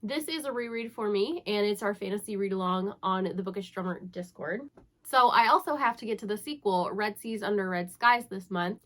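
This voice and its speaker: A woman's voice: medium pitch, a bit bright. A young woman with a congested voice from the flu, chatting casually and upbeat to camera about her reading plans. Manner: chatty, upbeat, self-teasing. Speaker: book vlogger.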